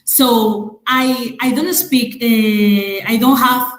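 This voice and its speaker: A woman with a Madrid Spanish accent, speaking in a webinar and pausing often with fillers. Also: fairly high pitch, steady tone, roomy sound. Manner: slow, hesitant, many fillers. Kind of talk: webinar talk in English.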